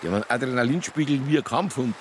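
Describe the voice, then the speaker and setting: An actor playing a grumpy, reluctant Bavarian police detective, blunt and grumbling, with a Bavarian accent in a radio drama. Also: male voice, medium pitch, very fast, slight background noise, lightly acted. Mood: dismissive, grumbling. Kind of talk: naturalistic radio drama acting.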